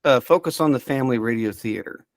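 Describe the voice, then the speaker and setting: A man's voice: mid-range voice, fast talker, no echo. Rambling man chatting in a dry room. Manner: hesitant, rambling, self-interrupting. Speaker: podcast subject-matter expert. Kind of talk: casual chat.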